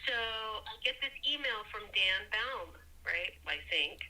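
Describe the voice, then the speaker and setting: A woman's voice: mid-range voice, lively intonation, slow, bright, forceful tone, a little hiss or hum, low-quality recording. A woman telling a personal story casually as a caller, rambling with emphatic repetition and casual swearing. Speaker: caller seeking tech help.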